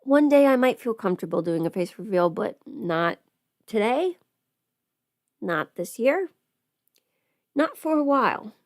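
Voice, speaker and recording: A woman's voice: on the high side, big pitch swings, unhurried, pauses often, no room sound. A woman casually chatting on a livestream while doing her makeup, playful and absent-minded, muttering to herself in a close-miked voice. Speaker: livestream host, voice performer.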